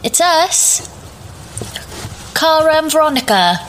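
A nervous young woman with a sarcastic edge played by an actress in an audio drama. Her voice is fairly high and slow, with noise behind it. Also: very animated, a bit bright, exaggerated voice.

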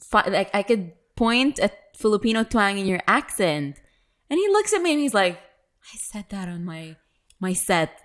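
A woman telling stories in a casual, candid and playful way, acting out bits of quoted dialogue. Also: mid-range voice. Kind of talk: casual conversational storytelling.

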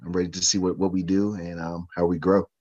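A man on a sports podcast who talks eagerly but haltingly, with many fillers. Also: low-pitched, little pitch movement, darkish tone, lo-fi sound. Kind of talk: casual sports podcast chat.

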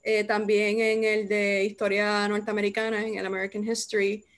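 A young adult woman hosting a casual chat, lively and quick to laugh. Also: medium pitch. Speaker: host. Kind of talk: casual conversation.

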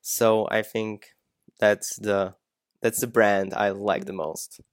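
Hesitant young man, lowish voice. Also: slow, clean tone, dry recording, studio quality. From Slovakia. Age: young adult. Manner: hesitant, lots of "um" and "you know", laughs easily. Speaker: guest. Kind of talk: casual interview.

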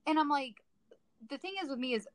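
A woman's voice: high voice, fairly quick, no room sound. A teenage girl co-hosting a podcast, chatting casually and earnestly, a little self-conscious. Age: teens. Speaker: podcast co-host. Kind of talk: casual chat.

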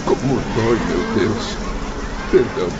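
A man's voice: fairly low pitch, unhurried, lightly acted. A man acting in a radio drama as a suffering, repentant soul, moaning in pain. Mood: pained, pleading. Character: suffering, repentant damned soul begging forgiveness. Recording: dull lo-fi audio, very loud crowd and noise.

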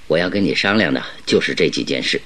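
A man playing a shrewd, calm detective in a Mandarin radio drama, speaking deliberately and with emphatic instruction. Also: rumbling low, a little hiss or hum. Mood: firm, instructing. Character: shrewd, commanding male detective, calm and exacting.

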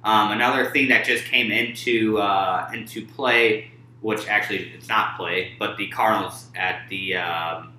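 Man, co-host, halting sports chat, echoey room. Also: lowish voice, fairly even intonation, pushed and bright, slight background noise. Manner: halting, many fillers and restarts. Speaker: podcast co-host.

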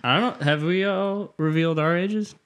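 A man's voice: high-pitched, normal speed, a bit bright. A man in his thirties co-hosting a casual podcast, joking and bantering. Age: 30s. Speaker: podcast co-host.

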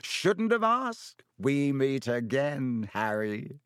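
A man voicing a vain, jovial teacher who booms with pompous, paternal self-satisfaction. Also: big pitch swings, slow pace, a bit bright, smooth, no echo, clean studio sound. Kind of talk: audiobook character voice.

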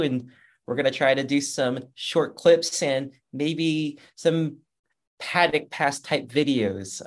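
A man hosting a motorsports podcast in a casual, upbeat, chatty way, with a loud voice. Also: mid-range voice. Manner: upbeat, chatty, reads sponsor plugs. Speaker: podcast host and motorsports journalist.

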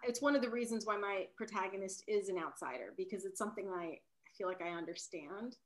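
Halting woman author, native Italian speaker. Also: medium pitch. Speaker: novelist being interviewed. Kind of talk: casual conversational interview.

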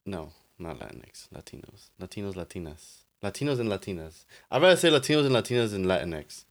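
Low-voiced young man bantering. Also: fairly quick. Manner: relaxed, laughing, opinionated banter. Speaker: podcast co-host. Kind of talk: casual chat.

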